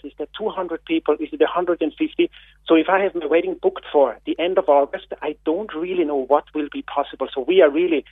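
A man with an Austrian accent speaks on a radio phone-in as a guest. He talks haltingly, with many fillers and repeated words, in a slightly high voice. The sound is dry and tinny, like a phone line. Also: dry recording. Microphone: telephone.

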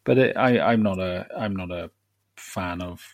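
A man co-hosting and editing a podcast, relaxed and rambling with lots of ums. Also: low voice, measured pace, dry recording. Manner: relaxed, rambling with many fillers. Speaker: podcast co-host and editor. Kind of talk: casual chat.

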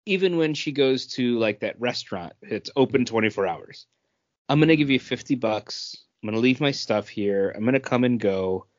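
A man's voice: mid-range voice, expressive, normal speed, no room sound. A man co-hosting a podcast, explaining things in a casual conversational style. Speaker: podcast co-host. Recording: dull, dry lo-fi audio.

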